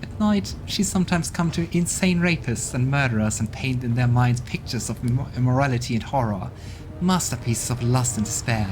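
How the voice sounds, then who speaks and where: A man's voice: medium pitch, normal speed, close to an everyday voice. A man voicing a proud, scornful female demon ruler from a role-playing game, speaking in a smug and venomous way. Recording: game music playing behind.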